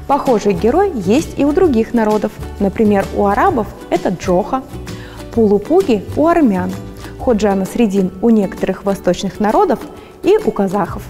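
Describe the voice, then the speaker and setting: A woman's voice: mid-range voice, dark tone, big-room sound. A woman lecturer giving a scripted narration to children in a friendly storytelling way.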